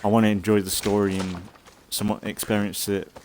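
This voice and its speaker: A man giving casual, rambling commentary as a let's play host, thinking aloud in a halting way and sounding slightly congested. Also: on the low side, level tone, darkish tone, a little hiss or hum. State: slightly congested from an oncoming cold.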